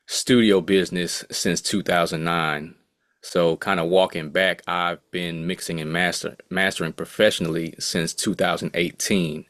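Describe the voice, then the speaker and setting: A man's voice: low voice, fairly bright tone, no room sound, clean studio sound. A man in his late thirties, a music producer speaking as an interview guest in a laid-back, rambling way full of asides. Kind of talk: casual interview talk.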